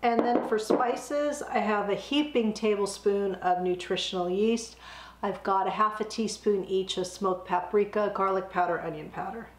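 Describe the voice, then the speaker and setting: A middle-aged woman hosting a home cooking channel, a bit hoarse from a cold, explaining a recipe step by step in a friendly, chatty way. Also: medium pitch, normal speed, roomy sound. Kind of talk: casual cooking demonstration. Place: home kitchen, indoors.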